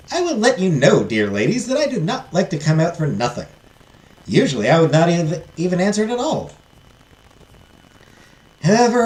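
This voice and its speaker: A woman's voice: low-pitched, a little mellow, pitched above the performer's own voice. Woman voicing an echoey Southern fairy godmother. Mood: grand, reassuring. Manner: measured, formal, theatrical. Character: fairy godmother, benevolent, grand, teasing supernatural female spirit. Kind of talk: storybook character voice.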